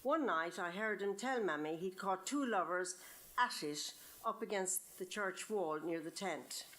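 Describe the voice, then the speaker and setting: A woman's voice: medium pitch, unhurried, fairly bright tone. A woman with an Irish accent reads her own short story expressively to a live event, as a storyteller would. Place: live reading event at an arts venue.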